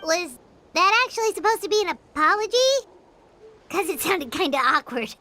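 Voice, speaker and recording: A woman voicing a small, childlike fairy companion in a game, squeaky, cheeky and excitable. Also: moderate pace, full character voice. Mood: teasing. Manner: talks about self in third person, exclamatory. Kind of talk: animated game voice acting.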